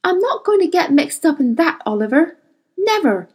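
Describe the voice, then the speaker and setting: Lady voicing a fired-up principled scientist woman, high and swingy, totally indignant. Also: sing-song, moderate pace. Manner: indignant, raised and heated.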